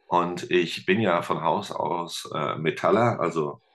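A man with a very low, deep voice talks casually as a podcast guest over a video call. He hesitates a lot, restarts his sentences, and drops in dry jokes. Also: a little slow, fairly bright tone. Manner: hesitant, many fillers and restarts, joking. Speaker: podcast guest, teacher and musician. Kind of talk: casual conversation. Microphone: remote video call.

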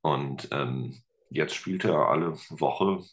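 A man's voice: rumbling low, slow pace. A man in his thirties, a teacher and musician, talking casually as a podcast guest, thoughtful and full of fillers and restarts. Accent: German. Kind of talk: casual interview talk.

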